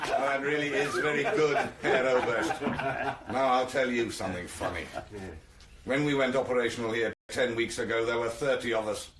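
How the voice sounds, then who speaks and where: Actor as defiant German officer, echoey room. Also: male voice, medium pitch, moderate pace, natural voice. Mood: mocking amusement. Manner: sarcastic, blunt. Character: embittered, defiant German junior officer, loyal and scornful. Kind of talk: radio drama acting. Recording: roomy echo, faint background noise.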